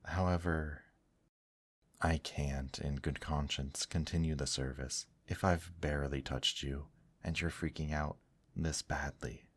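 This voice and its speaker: A man playing a gentle, protective male demon in an intimate ASMR roleplay, speaking softly, tenderly and reassuringly to the listener. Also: rumbling low, fairly even intonation, no echo. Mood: firm, caring. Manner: soft, tender, reassuring, unhurried.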